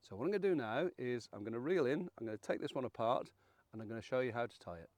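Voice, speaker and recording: A man around seventy, croaky and hoarse from illness, hosting a fishing channel and walking through a rig in a chatty, folksy, self-deprecating way. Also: mid-range voice, expressive, fast talker, darkish tone, no room sound. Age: 70s. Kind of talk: casual how-to demonstration.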